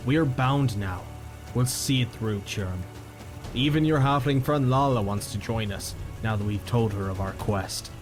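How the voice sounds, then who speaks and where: A man's voice: medium pitch, a little slow, soft background music, voice pushed up, close to an everyday voice. A man voicing a loyal, resolute male companion in a fantasy audio story.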